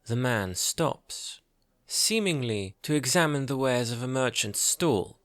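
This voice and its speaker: A man narrating a scripted fantasy audio drama in a measured storytelling manner. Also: medium pitch, lively intonation, fairly bright tone, no room sound.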